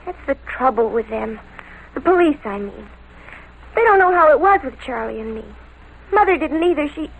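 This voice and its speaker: A high, girlish American voice on a faintly noisy old radio recording. She is a lovestruck, naive teenager, defiant and gushing, speaking in breathless, slangy bursts in 1940s radio drama style. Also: animated, gravelly, phone-quality sound. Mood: hurt, pleading. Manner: breathless, slangy, halting confession.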